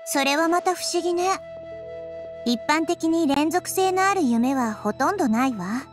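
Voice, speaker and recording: A woman voicing a small, wise and curious girl deity in an anime game, speaking gently and thoughtfully. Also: high-pitched, over music. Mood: thoughtful, serious. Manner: gentle, thoughtful, measured feminine speech. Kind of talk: Japanese anime game voice acting.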